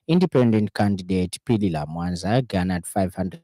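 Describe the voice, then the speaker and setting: A man with a Zambian accent reading a radio news bulletin in a formal, measured way from a studio. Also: sits low, mellow, dry recording.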